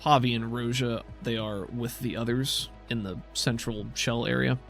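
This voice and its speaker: A man runs a tabletop roleplaying game, describing each scene in a conversational, improvised way. His voice is mid-pitched, with faint music behind it. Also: steady tone, normal speed, vocal fry, a bit bright. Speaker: game master.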